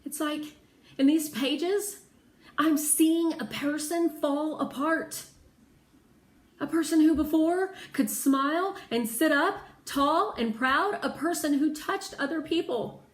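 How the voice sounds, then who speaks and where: Female read-aloud narrator, unhurried and deliberate, expressive storytelling, echoey room. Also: sits high. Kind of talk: expressive children's audiobook narration. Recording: roomy echo, faint background noise.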